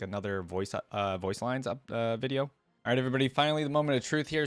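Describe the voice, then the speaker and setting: A man's voice: mid-range voice, dry recording. A man giving casual reaction commentary, musing aloud with curiosity as host.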